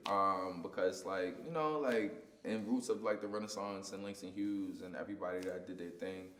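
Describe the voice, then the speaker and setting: Young New poet, low voice, easygoing slangy guest in an echoey room. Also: male voice, moderate pace, fairly bright tone. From United States. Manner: laid-back slangy talk with frequent "like". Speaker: spoken word poet guest. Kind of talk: casual interview chat.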